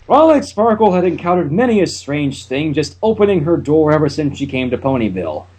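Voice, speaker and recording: A man's voice: fairly high pitch, big pitch swings, a little fast, darkish tone, husky. A man hosting a casual fan fiction reading, narrating with chatty, wry asides. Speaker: channel host and reader.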